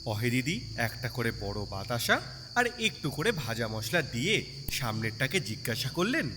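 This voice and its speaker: A man's voice: mid-range voice, sing-song, moderate pace, background noise. A man narrating a scripted story expressively, as an audiobook storyteller would. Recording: long reverb over music bed. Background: music and cricket sounds.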